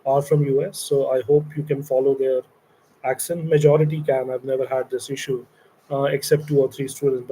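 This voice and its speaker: A middle-aged man from India speaks with an Indian English accent, walking new interns through a program he founded. His delivery meanders, with many pauses and fillers. His voice is warm and mellow, with a faint hum of noise behind it. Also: medium pitch, low-quality recording. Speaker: company founder leading an internship. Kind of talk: recorded orientation talk to interns.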